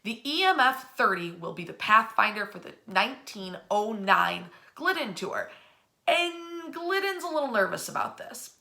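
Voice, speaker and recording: A middle-aged American woman telling history in a casual, animated way, speaking in a bedroom to a camera a short distance away. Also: a little high, normal speed, creaky. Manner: animated storyteller, acting out quotes in slangy asides. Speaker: history storyteller and host. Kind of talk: casual, informal history storytelling. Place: indoors in a bedroom.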